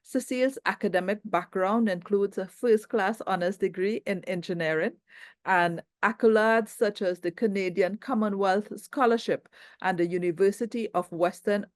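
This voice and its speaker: A woman hosting a governance event, giving a formal, measured introduction of the keynote speaker. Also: medium pitch, dry recording. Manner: measured, formal, reading from notes. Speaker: event host and moderator.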